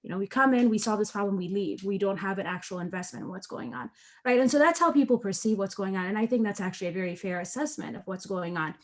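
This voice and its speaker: A woman's voice: medium pitch, fast. Earnest woman panelist from Ethiopia. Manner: earnest and conversational, tags points with "right?". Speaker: researcher and panelist. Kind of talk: online panel discussion. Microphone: video call.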